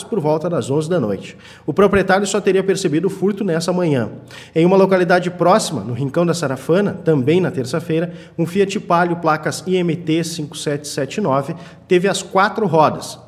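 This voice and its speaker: Man, fairly high pitch, scripted reporting, reverberant room. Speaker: news reporter. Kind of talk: scripted news narration. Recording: long reverb on voice-over.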